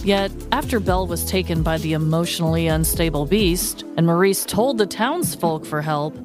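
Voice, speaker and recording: Expressive woman podcaster with music behind. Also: fairly low pitch, normal speed. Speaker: podcast co-host. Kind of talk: casual chat and scripted storytelling.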